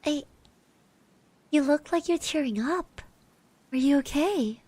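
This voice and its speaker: Soft highish girl classmate asmr, woman acting it, really slow n gentle, whispery bits, reassuring, close dry mic. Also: dry recording. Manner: soft-spoken, often dropping into breathy whispers; hesitant, gently reassuring, speaks of herself in third person. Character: sweet, shy, caring girl classmate. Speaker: ASMR roleplay performer, streamer. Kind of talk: ASMR roleplay as a supportive classmate. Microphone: close microphone.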